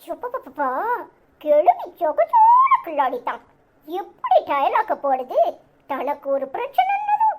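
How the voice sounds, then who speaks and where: Brief: woman playing a sly young boy, very high and bouncy, gleeful mocking asides. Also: heavy background noise, exaggerated voice. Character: cheeky scheming little boy.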